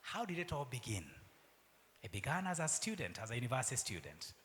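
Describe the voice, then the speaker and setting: A middle-aged man with a Kenyan accent giving a conference keynote, asking the audience questions and dropping into soft asides. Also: medium pitch, big pitch swings, brisk. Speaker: university vice chancellor giving a keynote. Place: large conference hall. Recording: long hall reverb.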